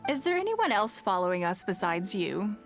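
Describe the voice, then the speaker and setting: A woman's voice: medium pitch, very animated, moderate pace, faint music behind. An actress playing a shrewd, poised noblewoman in a video game, composed and authoritative. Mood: thoughtful, decisive. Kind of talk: scripted video game dialogue.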